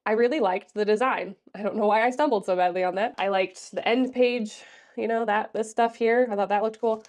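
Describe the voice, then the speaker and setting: A woman's voice: mid-range voice, a little fast, darkish tone. A stuffed-up, congested woman in her late twenties talks through a book review in a sassy, chatty way. Her voice sits in an ordinary middle register, and she rambles with fillers and restarts. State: congested from allergies. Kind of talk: casual book review vlog.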